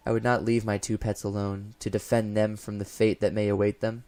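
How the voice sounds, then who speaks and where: A man playing a haunted college professor unraveling into paranoid dread, narrating a horror audio drama in a formal, deliberate, theatrical manner. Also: lowish voice, little pitch movement, close to an everyday voice. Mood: uneasy, haunted. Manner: formal, deliberate, theatrical, swelling from hushed to frantic. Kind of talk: first-person gothic horror audio narration. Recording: music behind the voice.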